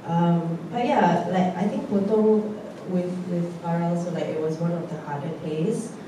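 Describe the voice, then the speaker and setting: A woman's voice: on the low side, fairly even intonation, normal speed, heavy reverb, noisy background. Brief: emotional, chatty woman panelist, distant mic in a big theatre. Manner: emotional, chatty, nervous laughs. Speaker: actor on a post-show panel. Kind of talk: casual panel chat. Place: indoor theatre stage.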